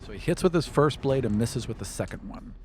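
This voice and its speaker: Lively storytelling man running the game. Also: medium pitch, fairly quick, noisy background. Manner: loose, storytelling narration with many likes. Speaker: game master. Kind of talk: narrating a tabletop game.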